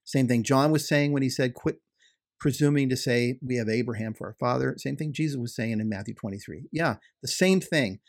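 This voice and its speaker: A man of middle pitch talks through a theological argument with earnest emphasis. He often circles back and restates his points so they land clearly. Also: fairly quick. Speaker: podcast co-host. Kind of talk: theological conversation.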